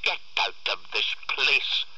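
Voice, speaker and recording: A man's voice: mid-range voice, expressive, slow, husky, no echo, voice pushed up, full character voice, poor audio. A man voicing a fierce, exasperated farm mother who snaps and scolds, in a storytelling reading. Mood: furious. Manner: sharp, snapping.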